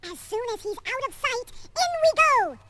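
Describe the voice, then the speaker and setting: Squeaky, cocky little chipmunk. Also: big pitch swings, moderate pace, heavy background noise. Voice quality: squeaky, sped-up cartoon voice. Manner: brash, cheeky. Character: cocky, bold young cartoon chipmunk boy. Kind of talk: children's read-along record acting. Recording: music behind a children's record.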